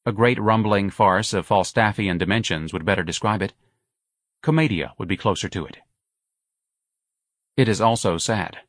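A man narrating a literary audiobook in a measured, articulate and expressive manner. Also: on the low side, dry recording, clean studio sound.

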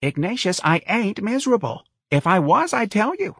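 A man's voice: sits high, very animated, normal speed, a bit bright, slightly rough, no echo, studio quality, voice pushed up, exaggerated voice. A man voicing a doting, plaintive middle-aged working-class mother in folksy, ungrammatical speech for an audiobook. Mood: plaintive, insistent. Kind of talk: audiobook character voice.

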